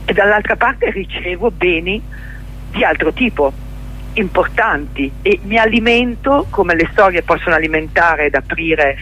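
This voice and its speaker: A middle-aged woman with a husky voice, explaining her own work as a guest in a conversational radio interview over the telephone. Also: fairly low pitch, animated, moderate pace, a bit bright, slight background noise. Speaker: interview guest, journalist and stage performer. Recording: dull telephone line to a radio studio.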